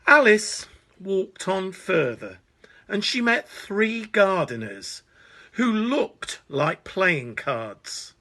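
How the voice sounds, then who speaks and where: Slow, animated male storyteller. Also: high-pitched. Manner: expressive, deliberate delivery. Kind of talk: children's bedtime story narration.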